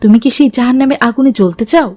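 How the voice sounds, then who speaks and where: A woman's voice: high-pitched, rapid-fire, dark tone, no echo. Woman voicing a pious, admonishing cartoon mother. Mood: stern, pleading. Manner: preachy, instructive. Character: pious, admonishing middle-aged mother, strict but caring. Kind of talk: moral-tale cartoon dubbing.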